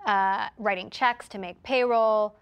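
A woman hosting a broadcast interview, introducing her guest and asking crisp, polished questions. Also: mid-range voice, fairly even intonation, a little slow. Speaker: interview host.

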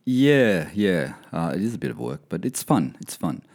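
A man hosting a casual podcast, talking in a relaxed, rambling way with many fillers, his voice a bit croaky from a cold. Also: low-pitched, normal speed. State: croaky, coming down with something. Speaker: podcast host. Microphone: podcast mic over video call.

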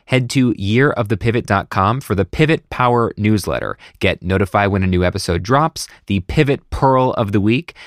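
A man's voice: medium pitch, no room sound, studio quality. A man hosting a podcast, reading a scripted intro and then chatting casually and self-deprecatingly with his guest. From United States. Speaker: podcast host.

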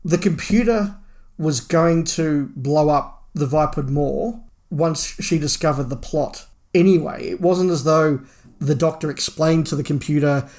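A man's voice: on the high side, darkish tone. A man co-hosting a podcast, discussing a book casually in an analytical, opinionated way with emphatic repetition. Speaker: podcast co-host.

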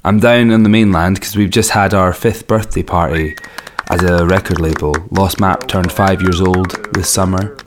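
A man with a slightly raspy, worn throat hosts a podcast in a casual, rambling way with wry, self-mocking asides. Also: low voice, fairly even intonation, normal speed, background noise. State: strained throat, losing his voice. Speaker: podcast host and record label owner. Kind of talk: casual solo podcast introduction.

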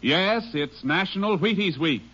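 A man announces formally in 1950s radio style. His voice is high and proclaiming, on a dull vintage recording with music loud behind him. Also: animated, a little slow.

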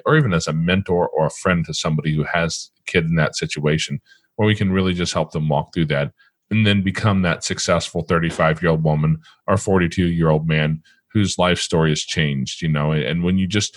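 A man with a Midwestern accent hosting an interview in a relaxed, rambling way, often restarting his sentences. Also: deep voice, no room sound. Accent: Midwestern American. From American Midwest. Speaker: podcast host. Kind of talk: casual interview chat.

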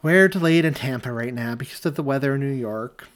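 A man voicing a tired, frazzled mother who sounds exasperated and sharp. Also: mid-range voice, brisk, lightly acted.

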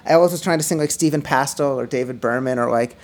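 A middle-aged man, a musician guest, chatting casually on a podcast, rambling with restarts and fillers in a high, slightly whiny voice. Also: quick pace. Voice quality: self-described high, whiny voice. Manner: rambling, many restarts and fillers, laughs often.

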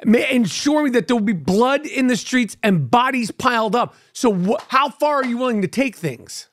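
A man's voice: extremely high pitch, lively intonation, moderate pace, a bit bright. A brash, profane man in his fifties with a New York accent, hosting a casual podcast and making mock demands. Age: 50s. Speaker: podcast host.

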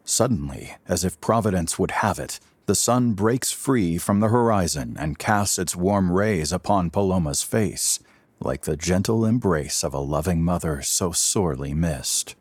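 An actor as the solemn narrator of a superhero audio drama, telling it in grave, florid, scripted prose. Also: male voice, sits low, lively intonation, unhurried, husky, no echo, heavily acted. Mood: grave, poetic. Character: solemn male storyteller of a superhero tale.

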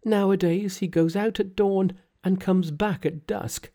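A man's voice: high voice, unhurried, mellow, voice pushed up. A man reading a storybook aloud and voicing a frail, frightened young woman in a raised, faint voice. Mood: timid. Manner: faint, trembling, pleading.

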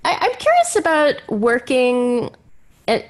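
A woman's voice: high-pitched, wildly swooping pitch, takes it slowly. A woman hosting a conversational interview, circling tentatively toward her questions. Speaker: podcast host.

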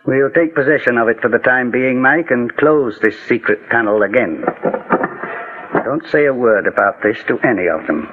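A 40s radio detective, calm n methodical, bit husky, tinny old recording. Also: male voice, medium pitch, normal speed. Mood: quietly shrewd. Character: calm, shrewd male detective, authoritative and methodical. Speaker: lead actor in radio detective drama. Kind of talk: 1940s radio mystery acting. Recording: muffled, narrow-band 1940s radio recording.

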